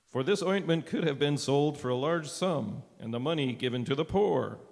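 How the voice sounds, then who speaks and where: Scheming, grudging male disciple, played by a man, gravelly, medium pitch, staged scripture reading in reverberant hall. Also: expressive, normal speed, a little mellow. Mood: indignant. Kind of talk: readers' theater scripture reading.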